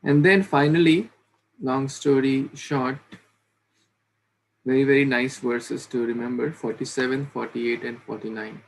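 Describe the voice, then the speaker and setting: A man in his thirties recaps a scripture chapter for an online study group, earnest and a little halting. His voice has a gravelly edge. It comes through a laptop webcam in a small home bedroom, with a faint hiss behind it. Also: medium pitch, steady tone, low-quality recording. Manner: earnest, halting with fillers and restarts. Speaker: scripture class leader. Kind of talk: recapping scripture study over video call. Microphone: laptop webcam on video call.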